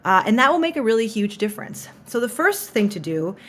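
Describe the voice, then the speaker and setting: A woman voice teacher gives an instructional explainer in a clear, friendly, explanatory way. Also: fairly high pitch, very animated, fairly quick, fairly bright tone.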